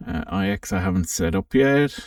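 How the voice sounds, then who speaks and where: A man hosting a casual, informal software walkthrough for an amateur radio channel, thinking aloud a little hesitantly as he goes. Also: low-pitched, expressive, moderate pace, clean studio sound. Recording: roomy, distant microphone.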